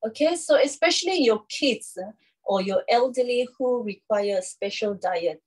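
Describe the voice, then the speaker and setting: A woman in her thirties presenting a live cooking demonstration from a home kitchen, in an instructional, halting way with frequent check-ins. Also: fairly high pitch, lively intonation, husky, poor audio. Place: small home kitchen, indoors.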